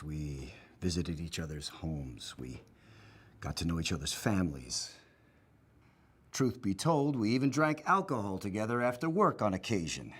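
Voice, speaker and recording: Deep-voiced, wry middle-aged agent. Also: male voice, fairly bright tone, husky, full character voice. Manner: sardonic, measured. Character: wry, jaded middle-aged man, FBI agent under questioning. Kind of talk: film interview scene, naturalistic acting.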